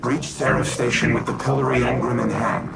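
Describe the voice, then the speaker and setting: An actor playing a solemn, self-sacrificing military artificial intelligence with a gravelly voice and formal, resolute, measured speech in a game cutscene. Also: male voice, medium pitch, level tone, very noisy. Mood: urgent, commanding. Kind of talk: scripted game cutscene acting. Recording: loud music behind voice.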